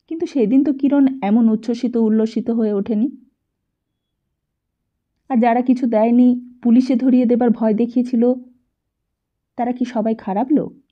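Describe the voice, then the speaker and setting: A woman narrating a literary audiobook in an expressive, reflective storytelling manner. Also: fairly high pitch, moderate pace, long pauses, muffled recording.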